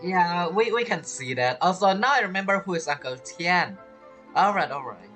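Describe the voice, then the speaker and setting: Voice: medium pitch, animated, moderate pace, fairly bright tone. A game streamer giving casual, rambling and playful commentary while playing. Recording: faint game music behind the voice.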